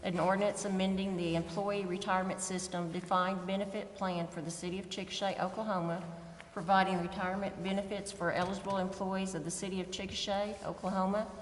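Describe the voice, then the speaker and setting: A woman's voice: medium pitch, flat delivery, a little fast. Formal American woman chairing in an echoey hall. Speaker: chair of a city council meeting. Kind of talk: formal procedural meeting, reading agenda items. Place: council chamber. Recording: echoey meeting hall, noticeable background noise.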